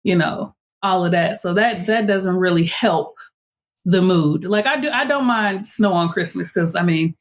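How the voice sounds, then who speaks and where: A woman in her late thirties from Chicago, hosting a podcast in a relaxed, chatty way and laughing easily. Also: fairly low pitch, a little slow. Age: 30s. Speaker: podcast host. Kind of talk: casual chat.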